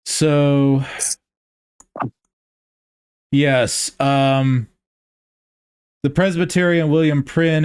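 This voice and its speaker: A man co-hosting a casual podcast conversation, calmly explaining history from memory. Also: medium pitch, clean tone, dry recording, studio quality. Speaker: podcast co-host.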